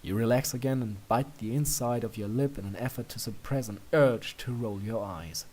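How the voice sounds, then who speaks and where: A man's voice: medium pitch, normal speed, darkish tone. A man reading a story aloud in scripted second-person narration. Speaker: fan fiction reader.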